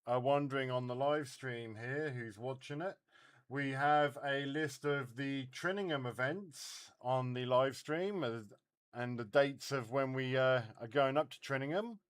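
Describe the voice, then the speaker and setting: A man hosting a CB radio net and live stream in a casual, halting way. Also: medium pitch, clean tone.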